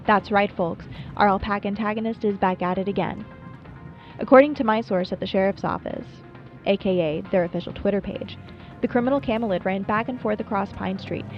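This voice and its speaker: A woman's voice: medium pitch, muffled recording, close to an everyday voice. A woman playing a wry, playful small-town late-night radio host in a scripted monologue, chatty and dryly self-deprecating. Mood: mock-serious. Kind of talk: scripted radio show monologue, audio roleplay.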